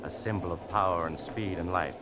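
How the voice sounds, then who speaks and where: Male actor as suave macabre storyteller, very low voice, ominous measured delivery, old radio drama, muffled band. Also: natural voice. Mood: dramatic. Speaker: host-narrator of radio mystery. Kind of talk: 1940s radio drama narration. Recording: muffled, noisy old radio broadcast with music.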